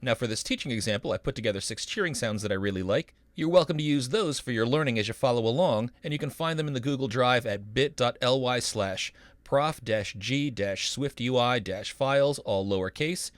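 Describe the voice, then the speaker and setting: A man's voice: mid-range voice, fairly quick, no room sound, clean studio sound. A middle-aged man narrating a scripted programming tutorial as an instructor, with an upbeat, folksy manner and playful asides.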